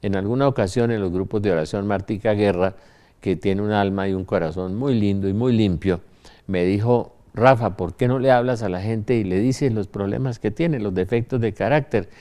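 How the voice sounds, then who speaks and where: Older Colombian man, low mellow voice. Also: moderate pace. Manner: anecdotal, emphatic, rhetorical questions. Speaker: religious speaker. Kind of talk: informal religious talk to an audience.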